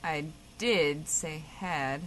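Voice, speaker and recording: A woman's voice: low voice, drawn-out words, slight background noise. A woman playing a sardonic, impatient radio co-host in scripted comedy banter.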